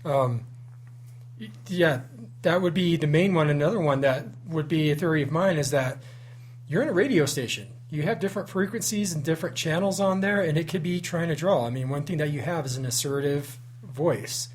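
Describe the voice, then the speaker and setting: Male paranormal investigator guest, higher voice, earnest and explanatory, faint hum. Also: brisk. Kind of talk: casual radio interview.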